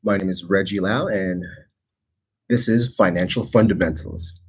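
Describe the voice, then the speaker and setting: A man's voice: sits low, normal speed, slightly rough. A man in his forties, born in the Philippines, hosting a casual livestream show in a rambling, chatty way with lots of fillers and restarts. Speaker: host.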